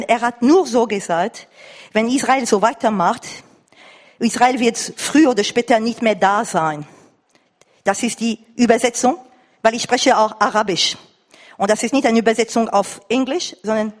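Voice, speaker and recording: A woman from France with a foreign accent, speaking from the floor haltingly and insistently as she searches for words. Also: on the high side. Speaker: audience member at a lecture. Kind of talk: spontaneous comment from the floor. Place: reverberant lecture room or hall. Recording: echoey hall recording of live talk.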